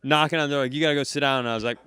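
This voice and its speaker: A man hosting a comedy podcast, telling a story in a casual, animated way and slipping into other people's voices. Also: mid-range voice, very fast, smooth. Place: live venue, close mic.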